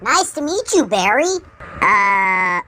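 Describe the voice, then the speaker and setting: A woman's voice: mid-range voice, big pitch swings, slow, sharp tone, a little hiss or hum, exaggerated voice. A woman voicing a plucky, sassy young female steam engine in a cartoon-style audio story. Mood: tentative, friendly. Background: faint music.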